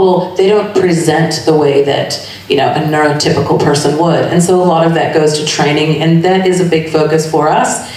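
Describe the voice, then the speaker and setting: A New woman with a low voice answers a question at a candidates' forum, earnest and conversational. She is picked up from a distance in a big, echoing hall. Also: darkish tone. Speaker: election candidate. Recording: echoey hall from far off.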